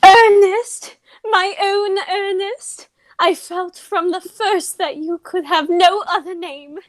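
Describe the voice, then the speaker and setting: A woman's voice: very high-pitched, fairly even intonation, slow, no echo. A woman playing a romantic, theatrical young upper-class lady in a radio play of a stage comedy. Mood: adoring.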